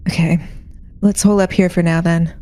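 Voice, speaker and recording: Young female sci-fi security officer, played by a woman: mellow, lower register, calm, commanding, measured roleplay delivery. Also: close to an everyday voice. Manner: measured, giving orders. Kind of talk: in-character tabletop roleplay.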